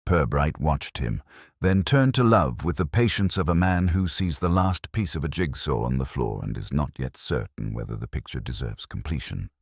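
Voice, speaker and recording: A man reading a literary mystery story as narrator, in a measured and deliberate storytelling manner. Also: rumbling low, lively intonation, no room sound, clean studio sound.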